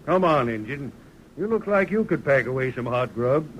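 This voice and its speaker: Man acting a gruff, blunt frontier townsman. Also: medium pitch, animated, normal speed, fairly bright tone, close to an everyday voice. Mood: gruffly generous. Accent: American frontier Western. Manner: folksy, blunt, emphatic. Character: gruff, big-hearted, commanding frontier townsman. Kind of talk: 1930s Western radio acting. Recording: noisy 1930s radio recording.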